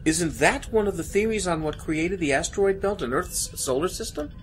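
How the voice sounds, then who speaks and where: A woman's voice: on the low side, moderate pace, soft background music, lightly acted. A woman acting as an inquisitive senior starship officer in a science fiction audio drama, with a questioning manner.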